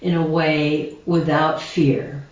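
A woman guest speaker explaining meditations calmly, in a slow, gentle, reflective way. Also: deep voice. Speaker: guest speaker, shamanism teacher. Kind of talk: calm guided explanation. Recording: distant echoey dull video call audio.

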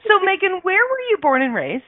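Giggly high-voiced woman interviewer, phone-like sound. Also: huge pitch swings, brisk, no echo. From Canada. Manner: giggly, bubbly. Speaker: host, interviewer. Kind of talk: casual chat interview. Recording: narrow, phone-like line.

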